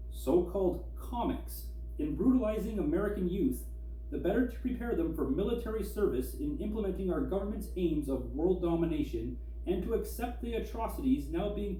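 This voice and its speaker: Dark-voiced man reading aloud, distant and echoey. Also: a little high, a little hiss or hum. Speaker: comic collector and historian presenting. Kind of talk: reading articles aloud with informal commentary. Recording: echoey room, distant mic.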